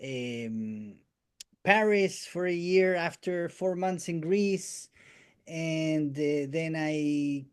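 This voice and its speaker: Halting Argentine-born man on a call. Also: on the high side, clear, resonant tone. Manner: halting, many restarts and "eh" fillers. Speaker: guest musician and storyteller. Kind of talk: casual conversation, life story.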